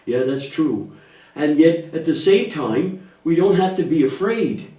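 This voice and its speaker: A man's voice: a little high, lively intonation, a little slow, dark tone. A man speaking patiently and reassuringly in a children's church puppet show, explaining things in simple terms. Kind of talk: gentle scripted dialogue teaching children about faith. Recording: echoey room, distant microphone.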